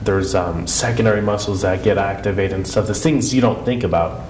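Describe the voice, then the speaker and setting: Male podcast co-host, low register, sniffly with a cold, casual and earnest, clear noise behind him. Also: brisk, a little mellow. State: congested and sniffly from a head cold. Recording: echoey room with clear background noise.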